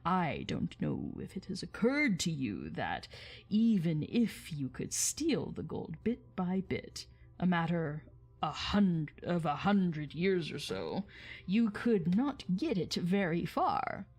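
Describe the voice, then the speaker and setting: A woman voicing a boastful, menacing old male dragon in a storybook reading, with slow, drawn-out, emphatic speech. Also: lowish voice, sing-song, a little mellow, exaggerated voice. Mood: mocking.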